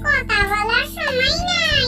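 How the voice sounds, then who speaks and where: A performer voicing a self-important, fretful male village boss in a children's cartoon, with loud music over a quieter voice. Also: very high voice, slow pace, far from the mic. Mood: urgent, uncomfortable. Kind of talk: children's fairy-tale cartoon dialogue.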